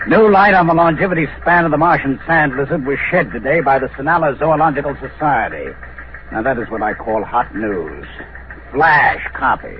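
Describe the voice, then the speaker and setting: A man's voice: medium pitch, animated, lightly acted. An American man acting a sour, world-weary news bureau chief in a mid-century radio drama, sardonic and emphatic. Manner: sardonic, exclamatory, drawn-out emphasis. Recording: tinny, noisy vintage radio recording.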